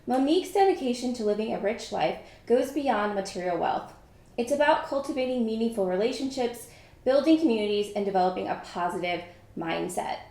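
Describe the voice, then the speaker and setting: A woman hosting a podcast interview, speaking in an effusive, halting way with fillers like "you know". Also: on the high side, lively intonation, mellow. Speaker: podcast host. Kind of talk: casual interview chat. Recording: very echoey room.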